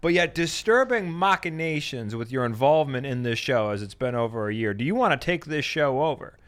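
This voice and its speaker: A man's voice: mid-range voice, lively intonation, brisk, fairly bright tone, clean tone. A man co-hosting a casual chat, teasing the others with wordy banter. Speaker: co-host.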